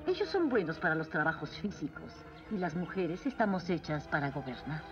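A woman's voice: low voice, very animated, brisk. A woman playing a proud, cold cartoon queen, regal and formal, with a Latin American Spanish accent. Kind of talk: dubbed animated series acting. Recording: dull dub audio with music.

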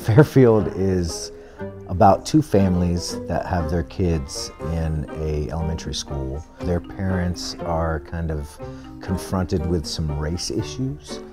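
A man's voice: low-pitched, slow. A man explaining a stage play in a promotional interview. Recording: guitar music behind the voice. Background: guitar music.

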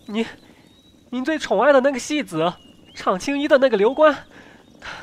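Panicked palace servant, played by a man, extremely high voice, agitated and stammering, costume radio drama. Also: animated, normal speed. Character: panicked household servant of a prince.

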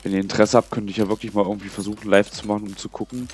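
Young male gaming host with a cold, slightly low voice, loose rambling commentary over faint game music. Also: level tone, rapid-fire, a little mellow. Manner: chatty, rambling, muttering to himself while playing. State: has a cold. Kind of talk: casual let's play commentary.

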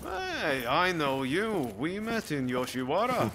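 A man's voice: on the high side, big pitch swings, slow pace, a bit bright, full character voice. A man playing a friendly, eager samurai companion in a video game, casual and chummy, with music behind him. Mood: friendly, encouraging. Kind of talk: scripted video game cutscene acting.

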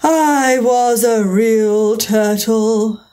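A woman's voice: fairly high pitch, steady tone, darkish tone. A woman doing a sobbing, sighing Mock Turtle. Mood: mournful. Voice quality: slow, drawn-out, sighing. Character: mournful sobbing male Mock Turtle creature.

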